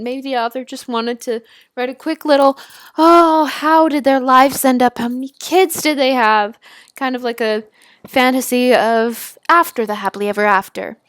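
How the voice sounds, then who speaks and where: A young woman casually reading a story aloud, with chatty, expressive, giggly asides as she reacts to it. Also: sits high, moderate pace, no room sound, clean studio sound. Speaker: YouTuber reading and reacting to fan fiction.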